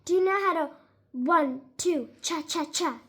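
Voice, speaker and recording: Woman voicing an eager, high-pitched older lady. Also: unhurried, fairly bright tone. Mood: eager, bright. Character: eager, encouraging older lady neighbor, fond of new things. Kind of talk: storybook character voice.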